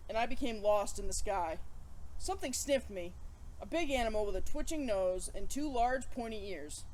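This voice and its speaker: High-voiced woman hosting children's story time. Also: a little mellow, a little hiss or hum, rough recording. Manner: chatty, playful, addressing children directly. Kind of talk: animated children's read-aloud presenting.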